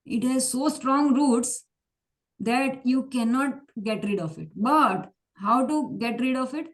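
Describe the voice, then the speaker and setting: A woman's voice: high voice, slow. Woman teaching, repeating words. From India. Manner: mixes English and Hindi, repeats words, restarts, laughs. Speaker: Gita class teacher. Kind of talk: online class explanation.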